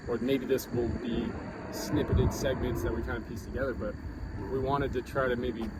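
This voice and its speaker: A man's voice: medium pitch, level tone, normal speed. Male podcast co-host, mid-range voice, loose off-the-cuff banter, recorded outdoors with heavy traffic and ball-bounce noise. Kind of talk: unscripted casual banter between co-hosts. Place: outdoor basketball court. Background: vehicles, bouncing basketball.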